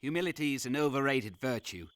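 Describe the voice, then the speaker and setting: A man's voice: mid-range voice, lively intonation, fast talker, a bit bright, a little hiss or hum, natural voice. A man playing a proud, principled revolutionary pamphleteer in a cartoon, earnest and declamatory. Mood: proud, defiant.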